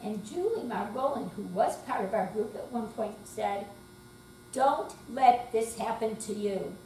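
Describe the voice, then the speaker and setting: Slow, high-voiced older woman, echoey. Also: animated, mellow. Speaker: amateur readers theater performer. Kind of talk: dramatic stage reading. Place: senior center hall, indoor live performance. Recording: distant, echoey room with faint hum.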